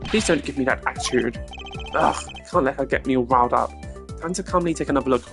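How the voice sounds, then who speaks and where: A stern male video game prosecutor, acted out by a streamer, formal and clipped. He sounds haughty and analytical, quick to be exasperated, with music playing behind him. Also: medium pitch, close to an everyday voice. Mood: irritated, composed.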